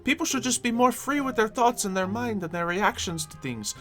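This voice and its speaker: Someone playing a theatrical, mischievous schemer, swooping pitch. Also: expressive, a little fast, fairly bright tone, natural voice. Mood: matter-of-fact. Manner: theatrical, slightly formal, playful. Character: eccentric, mischievous, theatrical schemer eager to steal. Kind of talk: improvised Minecraft roleplay. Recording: music behind the voice.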